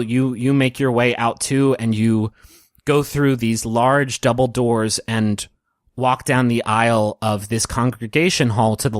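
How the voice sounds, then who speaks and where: Man, game master, medium pitch, very dry close sound, gentle halting storytelling. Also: dry recording. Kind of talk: narrating a tabletop story game.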